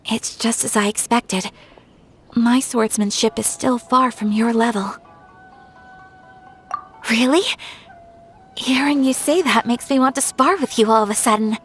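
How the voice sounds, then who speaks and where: A woman playing a polite, refined young noblewoman swordfighter in a video game, formal and gentle. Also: fairly high pitch, unhurried, long pauses, soft background music, close to an everyday voice. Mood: thoughtful, sincere.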